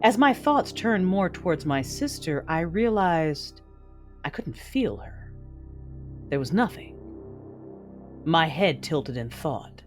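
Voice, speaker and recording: A woman's voice: deep voice, big pitch swings, takes it slowly, long pauses, heavily acted. A menacing Sith lord woman who tells her own story in a sci-fi audio drama. She is cold, brooding and vengeful. Music plays faintly under her voice. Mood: uneasy, searching. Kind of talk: first-person audio drama narration and dialogue.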